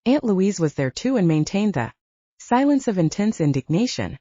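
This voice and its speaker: A woman's voice: medium pitch, very animated, normal speed, warm tone, no room sound, dull audio. A woman reading literary fiction as a scripted audiobook narration, moving between story and dialogue.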